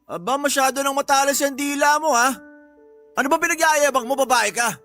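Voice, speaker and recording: A man's voice: very high voice, fairly quick, pushed and bright, soft background music, full character voice. A man playing a belligerent, boastful stepfather in a radio drama, with a Filipino accent and a confrontational, domineering way of talking. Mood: irritated, defensive. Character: belligerent, boastful live-in stepfather, quick to bully.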